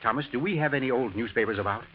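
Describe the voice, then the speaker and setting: An actor playing a mild, tired older English husband who turns anxious and suspicious, in 1940s radio drama style with a British accent. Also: male voice, medium pitch, racing, close to an everyday voice. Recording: muffled, noisy vintage radio drama recording.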